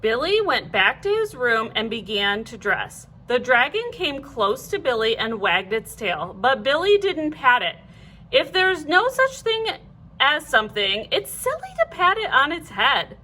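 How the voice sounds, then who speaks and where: A woman reading a children's storybook aloud like a teacher, in an animated, clearly phrased way. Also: sits high, a little slow, a little hiss or hum, low-quality recording.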